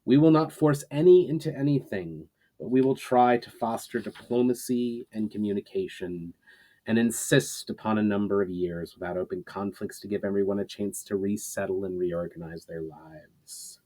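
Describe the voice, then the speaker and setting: A man voicing an earnest, solemn leader giving a measured, declamatory speech in a storybook reading. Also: on the low side, warm tone, lightly acted, rough recording. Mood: solemn resolve. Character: earnest, solemn male leader addressing assembled armies.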